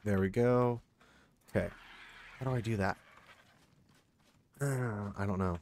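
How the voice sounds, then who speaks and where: A man narrating his gameplay in a casual, muttering way, his voice going hoarse and now and then breaking into loud frustrated outbursts. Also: on the low side, takes it slowly, slight background noise. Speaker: gaming channel host.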